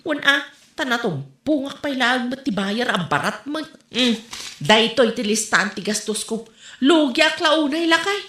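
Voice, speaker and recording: A woman with an Ilocano accent playing an aggrieved, quick-tempered young villager in a comedy audio drama. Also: sits high, expressive, pushed and bright. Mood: weary, complaining. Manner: emphatic, complaining. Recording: roomy echo.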